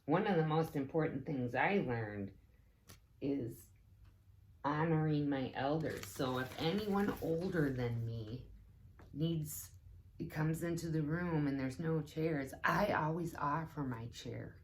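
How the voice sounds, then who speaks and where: A woman with a low voice leads story time for young children. She reads at an unhurried, slow pace and adds simple, instructive explanations. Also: slight background noise, poor audio. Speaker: teaching assistant reading story time. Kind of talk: children's storybook read-aloud with explanations to kids.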